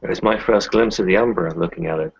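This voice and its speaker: Deep, gravelly, husky man in roleplay. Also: fast. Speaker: tabletop roleplaying game player. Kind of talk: casual in-character roleplay. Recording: dull, lo-fi voice recording.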